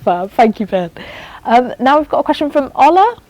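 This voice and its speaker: A woman hosting a students' union live Q&A, upbeat and brisk, with frequent ums as she hands over to questioners. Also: high voice, big pitch swings, a little mellow, a little hiss or hum, poor audio. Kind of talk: moderating a live Q&A.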